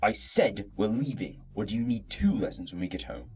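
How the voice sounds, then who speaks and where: A woman voicing a bigoted, menacing foster father who talks in a low mutter. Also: big pitch swings, normal speed, a little mellow, full character voice. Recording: distant mic with music behind.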